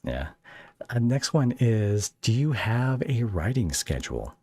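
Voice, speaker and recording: A man's voice: mid-range voice, very animated, measured pace, darkish tone, slightly rough, dry recording. A man hosting a podcast in a casual interview style, asking questions in a chatty, rambling way with jokey asides.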